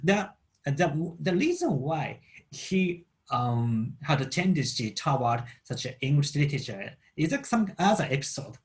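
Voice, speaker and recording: Japanese guy explaining a book in english, accent, kinda high voice, bouncy pitch, lots of stopping to find words. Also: sing-song. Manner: halting, searching for English words. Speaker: book channel host.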